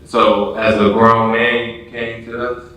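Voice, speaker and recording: A man's voice: medium pitch, little pitch movement, measured pace, sharp tone. An American man hosting a casual interview in an informal, slangy way. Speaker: interview show host. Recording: echoey room, distant mic, faint noise.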